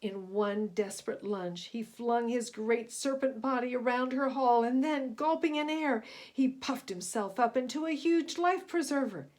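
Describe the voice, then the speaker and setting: Female storybook reader, slightly high voice, expressive narration for young listeners. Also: a little slow, hardly any pauses. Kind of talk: expressive read-aloud narration for young children.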